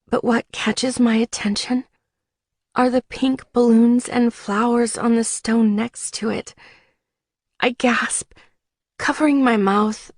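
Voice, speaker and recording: Female audiobook narrator, slightly high voice, unhurried, emotive first-person storytelling. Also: a little mellow, dry recording, studio quality.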